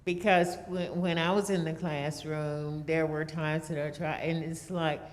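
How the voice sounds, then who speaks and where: A woman with a fairly low voice comments reflectively, like a former teacher at a meeting. She takes her time, very slowly, and the room echoes around her. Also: little pitch movement. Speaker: former teacher, audience member. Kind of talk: informal spoken comment at a meeting. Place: large hall at a church program. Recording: echoey hall.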